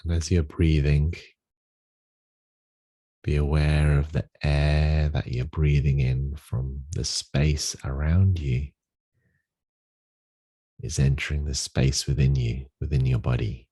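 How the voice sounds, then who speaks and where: A man with a soft, husky voice guides a meditation, giving gentle instructions with long pauses. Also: very deep voice, flat delivery.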